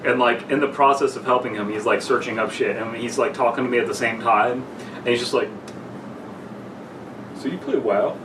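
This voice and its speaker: A young man talks loosely and a little drunkenly, tripping over words as he reads and banters. He sits away from the mic in an echoey room with clear background noise. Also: mid-range voice, fairly even intonation, recorded from a distance. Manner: halting, stumbles over reading. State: tipsy. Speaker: podcast co-host reading a story aloud. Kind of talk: casual banter and story reading among friends.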